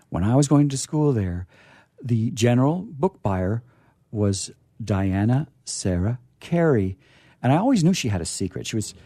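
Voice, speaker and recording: A man's voice: medium pitch, dark tone, no echo. A middle-aged man hosting a radio theater show, telling stories casually and theatrically, repeating phrases for emphasis. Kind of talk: casual chat and storytelling.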